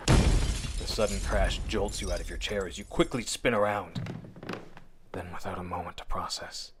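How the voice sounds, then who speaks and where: A man giving a dramatic, deliberate, suspenseful narration of a scripted horror story in the manner of old radio drama, addressing the listener directly. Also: sits low, fairly even intonation, heavy background noise.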